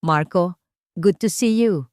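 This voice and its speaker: A woman's voice: fairly low pitch, takes it slowly, darkish tone, no room sound, studio quality. A woman voicing an arrogant male executive in a romance story, formal and boastful. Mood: smug, boastful.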